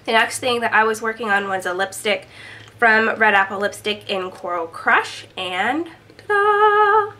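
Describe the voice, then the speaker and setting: Young woman, congested, bright tone, chatty, upbeat, small room, close camera mic. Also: on the high side, lively intonation, measured pace. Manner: chatty, upbeat, many ums. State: congested, stuffy from a cold. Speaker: beauty vlogger. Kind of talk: casual product update to camera. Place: small indoor room at home.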